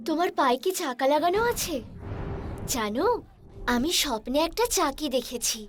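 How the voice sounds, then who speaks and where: A woman voicing a young, chatty newlywed village wife in a dramatised horror story, girlish and expressive. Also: high voice, moderate pace.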